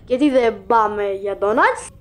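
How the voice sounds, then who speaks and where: A woman voicing a chatty, caring character in an animated cartoon dub. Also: medium pitch, natural voice. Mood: concerned, light. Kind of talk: cartoon fandub acting.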